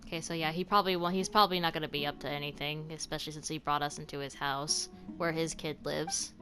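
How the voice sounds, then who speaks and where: A woman with a slightly low voice gives casual let's-play commentary as a game streamer. She reacts off the cuff, with game music clearly audible behind her. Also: steady tone, fast, bright, forceful tone. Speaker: gaming streamer.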